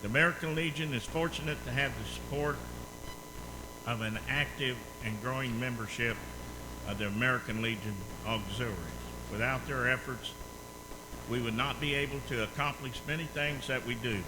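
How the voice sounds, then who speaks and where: A man's voice: medium pitch, normal speed, noisy background. A man acting as emcee, giving formal, welcoming stage introductions at a conference. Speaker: state commander, emcee. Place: conference hall.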